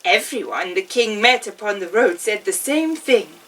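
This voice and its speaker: A woman reading a children's fairy tale as a storyteller witch, in a theatrical, measured way. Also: medium pitch, animated, fairly bright tone, far from the mic. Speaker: storyteller playing an amusing witch.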